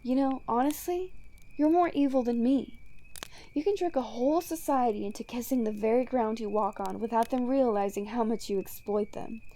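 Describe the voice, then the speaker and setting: Girl doing a smug villainess for asmr roleplay, kinda high but mellow voice, teasing n sarcastic, drawly. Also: normal speed, lightly acted. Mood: exasperated, bitter. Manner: teasing, drawling, sardonic, casually profane. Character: smug, sarcastic female supervillain taunting a hero. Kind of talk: ASMR roleplay audio drama monologue.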